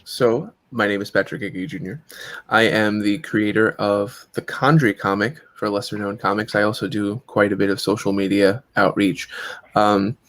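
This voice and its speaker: Low, flat-voiced man in his forties. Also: moderate pace, no room sound. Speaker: guest, comic writer. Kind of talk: casual panel chat.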